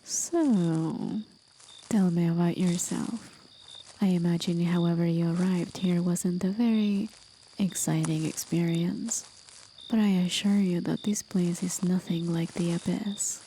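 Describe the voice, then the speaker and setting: A woman playing a playful, courteous demon forest guide in an ASMR roleplay, with soft, breathy, often whispered speech and a teasing, gently theatrical manner. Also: lowish voice, fairly even intonation, measured pace, a little hiss or hum, poor audio. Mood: reflective, curious. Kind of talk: ASMR roleplay, scripted acting.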